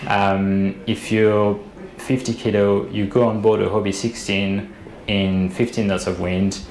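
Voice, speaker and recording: A man's voice: fairly low pitch, flat delivery, a little slow, darkish tone. A man in his thirties, a boat designer, explaining things in an interview over a video call, hesitant with many fillers and restarts. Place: indoor room, video call. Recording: roomy webcam audio with faint hiss.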